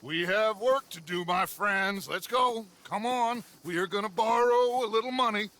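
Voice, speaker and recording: An actor playing a charismatic middle-aged American outlaw gang leader in a game, grandiose and commanding, with a rallying delivery. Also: male voice, high voice, normal speed. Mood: rousing. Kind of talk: video game voice acting. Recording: loud music over the voice.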